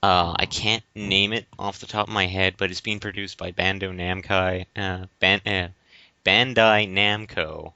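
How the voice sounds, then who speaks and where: A man's voice: sits low, fairly even intonation, measured pace, pushed and bright, no echo. A man co-hosting a podcast in casual discussion, hesitantly searching for words and repeating phrases. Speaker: podcast co-host. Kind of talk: casual discussion of video games. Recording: dry but dull lo-fi recording.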